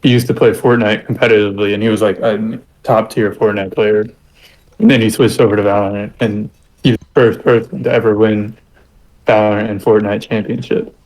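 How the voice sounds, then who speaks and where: Low-pitched male guest, casual and eager, quick to correct and explain. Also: level tone, a little mellow, poor audio. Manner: eager, explains esports facts. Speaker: podcast guest. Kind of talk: casual chat.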